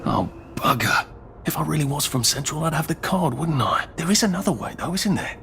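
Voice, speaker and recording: A man's voice: a little high, lively intonation, brisk, creaky, noisy background. An actor playing a sly, anxious, bluffing man with an English accent and a dry, polite, hesitant manner. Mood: sly, probing.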